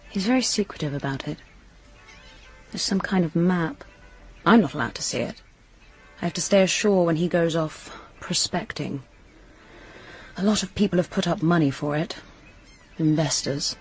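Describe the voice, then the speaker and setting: A worldly young mistress in a radio drama. Her voice is lowish and husky, cool and guarded with a blunt edge, over light music. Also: normal speed, long pauses, darkish tone. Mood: offhand, cool.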